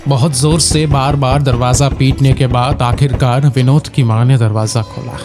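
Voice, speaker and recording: A man narrating a scripted horror story in a measured, suspenseful way. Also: mid-range voice, steady tone. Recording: loud music behind the voice. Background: loud music.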